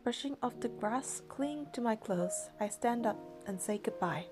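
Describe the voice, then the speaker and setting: A woman's voice: fairly high pitch, lively intonation, normal speed, over music. A woman reading game narration and dialogue aloud, with casual commentary of her own.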